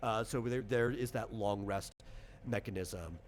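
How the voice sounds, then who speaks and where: Low-voiced man explaining game rules, background noise. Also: a little slow. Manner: informal, explanatory, illustrates with hypothetical examples. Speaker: game designer and game master.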